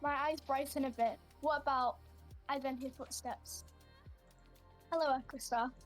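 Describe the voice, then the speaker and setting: A woman's voice: high-pitched, steady tone, measured pace, soft background music. A woman taking part in a game roleplay, narrating her character's actions in the first person. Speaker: roleplay participant.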